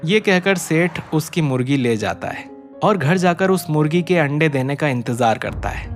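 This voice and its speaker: A man's voice: on the high side, expressive, normal speed. A man narrating a scripted cartoon story as its storyteller. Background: music.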